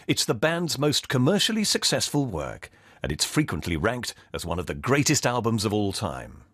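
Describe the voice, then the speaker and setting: A man's voice: mid-range voice, fairly bright tone, breathy edge, no echo, studio quality. A man giving a scripted introduction as a radio presenter.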